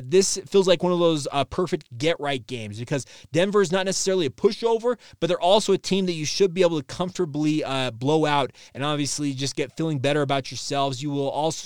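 A man in his thirties hosting a sports podcast solo, giving conversational news commentary with wordy phrasing and frequent filled pauses. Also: a little high, clear, resonant tone, dry recording, studio quality. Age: 30s.

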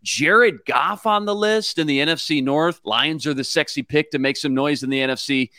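A man co-hosting a sports podcast, giving brisk, stat-heavy analysis in a prepared way. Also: a little high, pushed and bright, no room sound, studio quality. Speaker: producer and co-host.

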